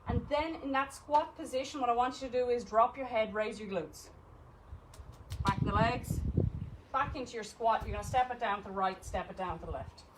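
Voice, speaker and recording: Irish lady fitness coach, bit high voice, upbeat cues and rep counts, faint hiss behind. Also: moderate pace. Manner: encouraging, counting reps and cueing movements. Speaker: fitness instructor. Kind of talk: live online exercise class instruction.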